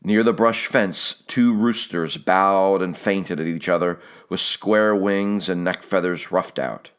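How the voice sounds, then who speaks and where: A man reading literary fiction as an audiobook narrator, in a measured, expressive way with phrases that soften at their ends. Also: sits low, clean tone.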